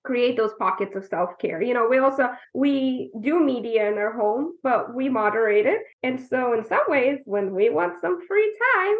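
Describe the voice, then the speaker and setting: A woman's voice: on the high side, animated, moderate pace, few pauses. A woman from Ukraine, a guest coach in a casual podcast conversation, explaining her views thoughtfully with frequent "you know". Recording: tinny phone-like remote line.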